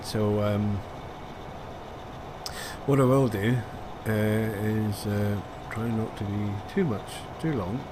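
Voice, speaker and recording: A man's voice: fairly low pitch, slow pace, noisy background. A man giving rambling, self-deprecating commentary over a farming game, full of fillers. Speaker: gaming video creator. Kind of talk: casual gameplay commentary.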